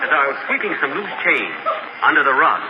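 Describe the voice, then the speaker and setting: A man's voice: on the high side, big pitch swings, a little fast, heavy background noise. A man giving mock-solemn, melodramatic narration in a 1940s radio comedy sketch. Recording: muffled old radio broadcast with audience.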